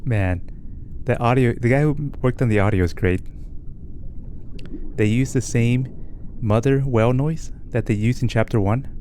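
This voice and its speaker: A man's voice: mid-range voice, unhurried, pauses often, warm tone, slight background noise. A man giving informal play-along reactions to a game, with muttered asides to himself. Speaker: game streamer. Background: game music.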